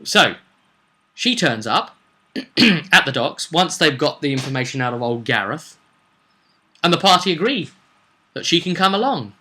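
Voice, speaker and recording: A man's voice: a little high, sing-song, measured pace, pauses often, pushed and bright. A man chatting casually as a game master, recounting a tabletop roleplay session in a rambling, storytelling way. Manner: chatty, slips into acted quotes of characters.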